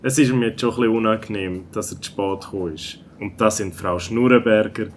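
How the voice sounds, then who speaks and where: A man's voice: lowish voice, brisk, slight background noise. A man with a Swiss German accent narrating a scripted children's audio story.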